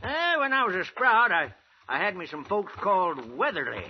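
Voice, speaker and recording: An actor playing a folksy, stubbornly hopeful old prospector in a 1950s radio western, with an American rustic drawl. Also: male voice, wildly swooping pitch, normal speed, full character voice. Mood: folksy, easygoing. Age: older. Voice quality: creaky, high-pitched. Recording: dull, noisy old radio recording.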